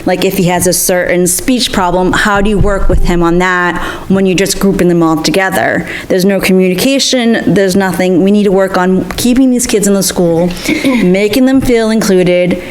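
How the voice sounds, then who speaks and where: Young woman candidate, earnest, plain-spoken, lowish voice, faint noise. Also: fairly even intonation, moderate pace, poor audio. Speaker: school committee candidate. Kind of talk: candidate forum answer.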